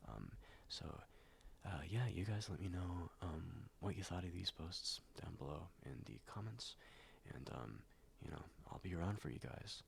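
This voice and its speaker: A young man, stuffed up and a little nasal after a cold, reading forum posts in a soft-spoken ASMR style, with many ums and slips into whispers. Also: deep voice, lively intonation, moderate pace, gravelly, slight background noise. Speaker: ASMR YouTuber.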